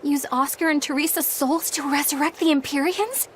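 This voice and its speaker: Woman as an earnest, fairly high-voiced young heroine. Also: moderate pace, a little hiss or hum, close to an everyday voice. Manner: sincere, proper. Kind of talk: fantasy game acting.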